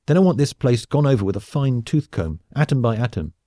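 A man's voice: medium pitch, animated, a little fast, dark tone, dry recording, clean studio sound, voice pushed up, natural voice. A man voicing an entitled, swaggering rich heir with a bossy, demanding manner in an audiobook. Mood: angry, commanding. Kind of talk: audiobook character acting.

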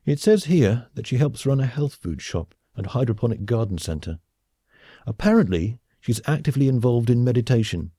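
A man's voice: medium pitch, expressive, moderate pace, warm tone, slightly rough, no room sound, clean studio sound, voice pushed up. A man voicing a polite, slightly baffled spaceship captain in an audiobook, hesitant and understated. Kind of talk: audiobook character acting.

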